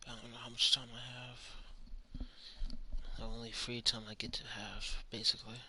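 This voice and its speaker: A man's voice: medium pitch, flat delivery, moderate pace, heavy background noise. A young man giving casual, slangy gameplay commentary, often muttering to himself as a streamer. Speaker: video game streamer. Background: loud game music.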